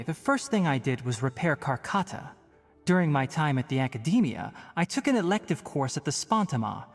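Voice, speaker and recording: A man's voice: mid-range voice, big-room sound. A man voicing a young forest ranger scholar in a video game, stern and principled, with a dry, precise lecturing manner. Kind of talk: video game character acting.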